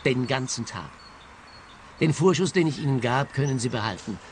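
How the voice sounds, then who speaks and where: An actor playing a touchy, self-justifying, well-to-do married client in a radio play. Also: male voice, medium pitch, animated, moderate pace, a little hiss or hum, lightly acted. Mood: evasive.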